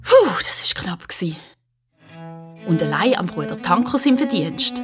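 A woman's voice: lowish voice, very animated, a little slow, loud music behind the voice. A woman with a Swiss accent narrating a children's radio play in an involved, suspenseful storytelling way.

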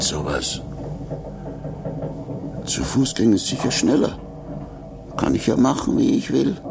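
An actor in a 1950s German radio play, playing an anxious, grumbling man who talks to himself with nervous self-reassurance in a gravelly, breathy voice. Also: very deep voice, noisy background, heavily acted. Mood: nervous, self-reassuring, then irritated. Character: anxious, grumbling man alone in a rickety lift.

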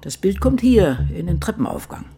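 Brooding ageing woman novelist, lively pitch. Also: moderate pace, creaky, a little hiss or hum, exaggerated voice. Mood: brisk, assertive. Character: Patricia Highsmith, ageing woman crime novelist, solitary and brooding. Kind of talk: literary radio drama, diary monologue.

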